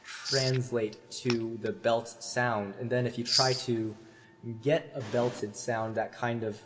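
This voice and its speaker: A man's voice: medium pitch, steady tone, slow, background noise. Hesitant man explaining singing technique. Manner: thoughtful, hesitant with many fillers, demonstrates sung vowels. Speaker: voice teacher.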